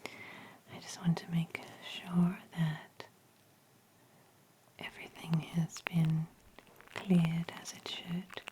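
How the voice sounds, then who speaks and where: Female ASMR practitioner role: gentle, breathy whisper, mellow and low-toned, soothing delivery. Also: flat delivery, drawn-out words, long pauses, poor audio. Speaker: ASMR host playing an ear-care practitioner. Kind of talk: soft-spoken ASMR roleplay.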